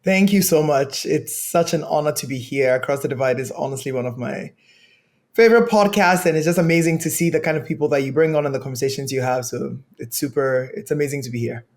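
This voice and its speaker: A young man from Ghana, a podcast guest, telling his life story in an earnest, measured way. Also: fairly high pitch.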